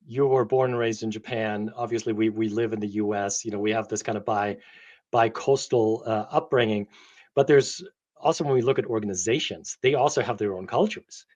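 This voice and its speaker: A man's voice: lowish voice, fairly quick, darkish tone, muffled recording. A man from Germany who works as a leadership coach, co-hosting an earnest, enthusiastic chat with some uh fillers. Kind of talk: casual conversational chat.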